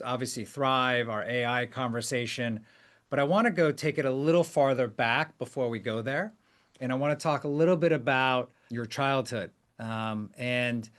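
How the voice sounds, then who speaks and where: A man hosting an interview, chatting in an affirming way with frequent "hmm"s and "wow"s. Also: mid-range voice. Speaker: podcast host, company CEO. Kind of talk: conversational interview.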